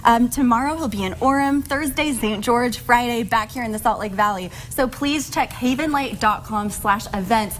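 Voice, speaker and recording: A woman's voice: fairly high pitch, moderate pace, hardly any pauses, fairly bright tone, a little hiss or hum. A woman hosting a TV studio interview, upbeat and chatty, laughing easily with her guests. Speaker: TV show host. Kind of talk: morning TV interview.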